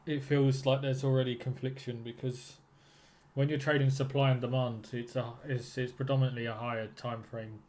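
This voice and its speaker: A man's voice: medium pitch, little pitch movement, normal speed, darkish tone, a little hiss or hum. Male trading mentor on a casual call. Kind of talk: casual one-to-one coaching call.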